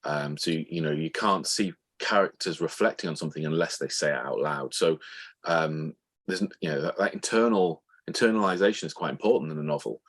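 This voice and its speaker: A man speaking as an interview guest, thoughtfully explaining his writing in a conversational way, often rephrasing mid-sentence. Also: low-pitched. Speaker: interview guest, author of novels and audio dramas.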